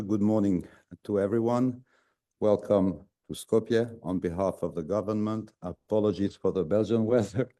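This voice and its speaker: A man, a government official, giving relaxed opening remarks with a few halting pauses. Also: on the low side. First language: Albanian. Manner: relaxed, joking, halting with fillers.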